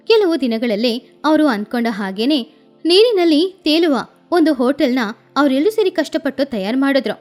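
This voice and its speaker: A woman's voice: high voice, darkish tone. A woman narrating a scripted children's story as the storyteller.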